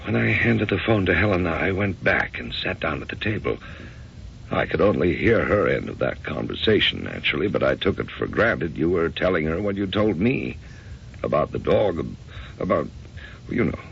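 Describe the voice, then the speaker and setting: Uneasy American husband, very low creaky voice, swinging intonation, hesitant and earnest, old radio drama. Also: male voice, sing-song, a bit bright, slight background noise, full character voice. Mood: bewildered, distressed. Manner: hesitant, halting. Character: bewildered, conventional middle-aged husband, uneasy and earnest. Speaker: actor and first-person narrator. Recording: dull, muffled radio drama recording.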